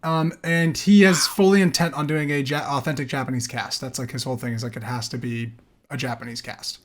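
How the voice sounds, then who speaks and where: A man's voice: medium pitch, fairly even intonation, fairly quick. A man hosting a casual podcast, talking in a slangy, opinionated way with some swearing. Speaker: podcast host.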